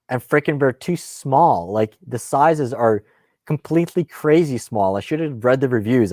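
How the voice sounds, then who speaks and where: A man's voice: lowish voice, a little mellow, no room sound, studio quality. A man whose first language is Russian, hosting a casual live question-and-answer stream about guns in a rambling, self-deprecating, lightly salty way.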